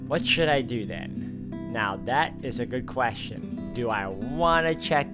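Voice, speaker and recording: A man of medium pitch narrating a visual novel, stopping to think through choices with pauses and asides. Loud game music runs under his voice the whole time. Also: lively intonation. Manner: thinks aloud with hesitations and asides. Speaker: game streamer reading the story aloud.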